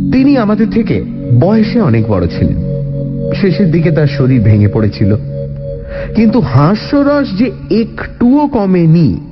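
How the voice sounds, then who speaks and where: A male narrator reads a literary story with expressive, animated delivery. His voice sits a little high and swings widely. Music plays clearly behind him. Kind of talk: scripted audio story narration. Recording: slightly dull recording under loud music. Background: background music.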